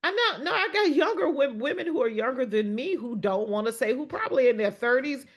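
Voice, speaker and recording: A woman in her fifties co-hosting a casual talk show, lively and quick to laugh, with folksy phrasing. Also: high-pitched. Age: 50s. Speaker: podcast co-host. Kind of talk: casual chat.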